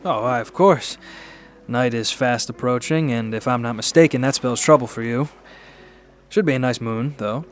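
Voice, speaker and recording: A man's voice: mid-range voice, normal speed, lightly acted. An actor playing a suave, mysterious man in a radio drama, polite, wry and teasing as he talks. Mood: teasing, menacing. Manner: polite, wry, smooth-talking.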